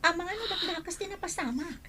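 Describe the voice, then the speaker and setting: A woman playing a brisk hospital nurse in an Ilocano radio drama. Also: extremely high pitch, over a noisy crowd. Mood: businesslike, urgent.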